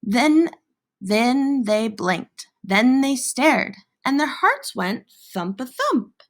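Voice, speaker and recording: A woman reading a children's picture book aloud in an expressive, theatrical way with dramatic pauses and emphasis. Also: fairly high pitch. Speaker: storybook reader.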